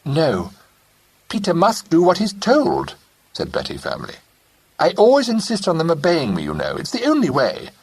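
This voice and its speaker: A man's voice: on the high side, huge pitch swings, brisk, creaky, slightly rough, pitched above the performer's own voice, full character voice. A man doing a bossy, coaxing mother. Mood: firm. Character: fussy, firm young mother.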